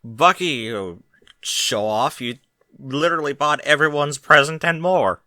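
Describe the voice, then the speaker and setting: Guy doing a tipsy cartoon pony lady, slow drawly words, blunt, punchy and close-up dry. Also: a little high, sharp tone, no room sound, pitched above the performer's own voice. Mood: matter-of-fact. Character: tipsy, helpful adult pony mare, blunt.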